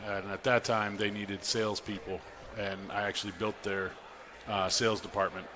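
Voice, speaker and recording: A man's voice: on the low side, level tone, normal speed. A man from Massachusetts chatting as an interview guest, wry and self-deprecating. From South Boston, Massachusetts. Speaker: guest, timber frame carpenter and salesman. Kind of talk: casual interview chat. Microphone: broadcast microphone. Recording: noisy live event background.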